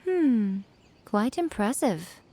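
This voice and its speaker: Sly, elegant shrine priestess. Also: female voice, on the high side, very animated, mellow, slight background noise. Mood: amused, coy. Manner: poised, teasing, deliberate. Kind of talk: polished video game voice acting.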